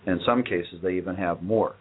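A man who runs a company, answering interview questions in a measured, matter-of-fact way with frequent pauses on "uh". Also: sits low, slightly rough. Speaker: company owner and guest. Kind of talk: business interview. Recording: tinny phone-like audio.

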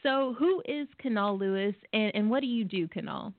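A woman's voice: on the high side, normal speed, dry recording. Woman, talk show host, upbeat, affectionate, dry tinny phone audio. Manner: upbeat, calls listeners "loves". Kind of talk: hosting an online talk show interview.

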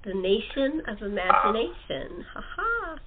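A woman talk show host in casual group chat, speaking in a halting, chatty way and often repeating words. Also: a little high, very animated, creaky, phone-quality sound, very noisy. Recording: thin, band-limited call audio.

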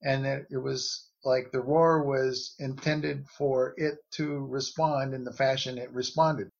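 A man giving casual explanatory commentary as a guest analyst, measured and tentative, on a slightly lo-fi recording. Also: medium pitch, flat delivery, creaky. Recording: slightly dull, lo-fi sound.

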